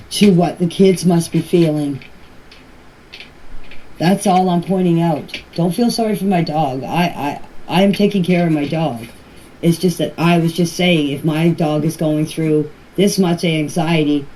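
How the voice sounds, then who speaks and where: A woman's voice: low-pitched, warm tone. An older woman speaking earnestly and emphatically in informal voice messages, often repeating herself for emphasis. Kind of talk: informal voice messages to a group chat. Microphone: phone voice recording. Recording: noisy voice message clips.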